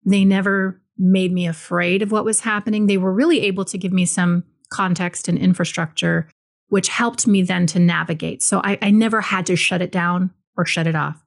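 A woman from Hawaii, a spiritual teacher guesting on a podcast, telling her life story in a calm, reflective, earnest way. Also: fairly low pitch, moderate pace, creaky. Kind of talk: interview storytelling.

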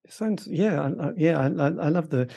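A man's voice: fairly high pitch, moderate pace, gravelly, dark tone, slightly rough. A man interviewing a musician, asking long, rambling questions with eager interest. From Britain. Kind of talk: conversational interview.

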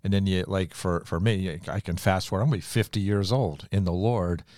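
Deep-voiced older man, chatting about faith. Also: fairly even intonation, brisk, a little mellow, no echo. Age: 60s or older. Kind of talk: casual religious conversation with personal testimony.

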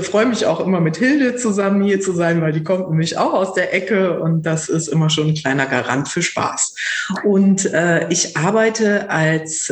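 A woman from the Lower Rhine with a Lower Rhine German accent, a psychotherapist introducing herself in a relaxed, cheerful way. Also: echoey room. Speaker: guest, psychotherapist. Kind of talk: casual podcast introduction.

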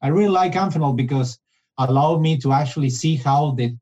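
A man's voice: fairly high pitch, a little fast, dark tone, muffled recording. A man, talking as a guest in an interview, often restarting his phrases. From Argentina. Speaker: guest, business development director. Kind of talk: casual interview conversation.

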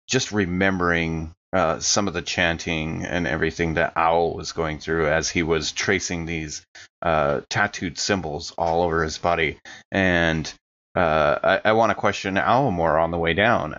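Male tabletop player, low voice, casual improvised narration, slightly dull recording. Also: fairly even intonation, unhurried, a bit bright.

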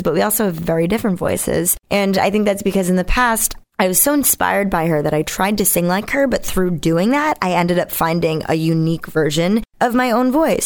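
A woman with a naturally raspy voice, a singer being interviewed, talking casually in a candid, reflective way. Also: on the low side. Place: radio studio.

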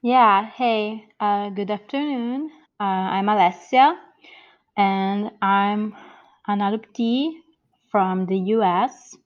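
A woman in her thirties with an Italian accent talks slowly as a podcast guest. She hesitates, restarts her sentences and fills the gaps with ums. Her audio sounds slightly muffled and dull. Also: medium pitch. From born in the US, grew up in Italy. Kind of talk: interview answers.

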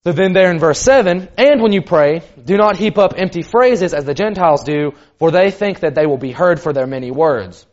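A man giving a sermon in a loud, carrying voice, casual and conversational with lots of "kind of". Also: on the high side, expressive, normal speed, hardly any pauses. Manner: loud voice that carries; conversational, many fillers like "kind of". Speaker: preacher. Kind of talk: sermon teaching. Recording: dull lo-fi recording.

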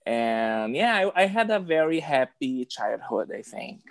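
A drag performer from Brazil with a Brazilian Portuguese accent, native Portuguese speaker, chatting as a guest with bubbly, halting phrasing. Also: female voice, rumbling low, big pitch swings. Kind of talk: casual interview. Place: New York, remote call.

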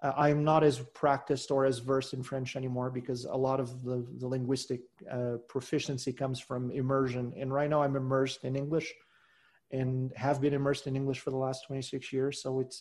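Middle-aged male guest, mid-range pitch, earnest and measured, trails off quietly. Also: completely flat delivery. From Portugal. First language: Portuguese. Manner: earnest and measured, voice trailing off softly at phrase ends. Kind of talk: reflective interview talk.

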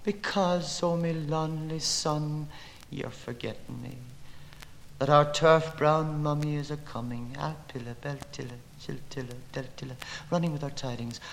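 An actor playing a grandiose, lyrical narrator, declaiming literary prose theatrically with dramatic swells and hushed phrases on an old record. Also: male voice, on the high side, moderate pace, creaky. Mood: lilting, gleeful. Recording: noisy old record with long reverb.

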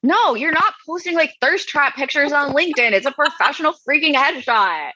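Woman, podcast co-host, crisp bright tone, hesitant, many fillers, flat dry sound. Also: high-pitched, moderate pace, no echo. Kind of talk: casual chat. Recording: dull, dry recording.

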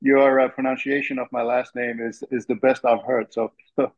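A man's voice: mid-range voice, flat delivery, moderate pace, highs cut off. A man from Denmark, a guest on an interview show who talks in an earnest, articulate way with frequent fillers. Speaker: interview guest, free speech lawyer. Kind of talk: interview conversation.

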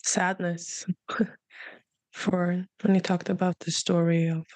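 A young woman speaking softly and hesitantly, sharing heartfelt reflections in a conversation. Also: lowish voice, flat delivery, slow, dry recording, low-quality recording. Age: young adult.

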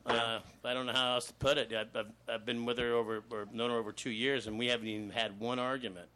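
Brash, bright-voiced man in his fifties. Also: mid-range voice, little pitch movement, quick pace. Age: 50s. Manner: profane, laughing storyteller. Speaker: guest, actor in the film. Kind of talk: casual chat.

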